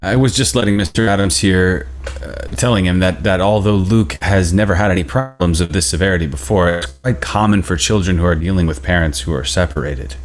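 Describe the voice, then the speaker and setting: An actor reading a male school administrator at a table read, polite and measured. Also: low voice, close to an everyday voice. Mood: diplomatic.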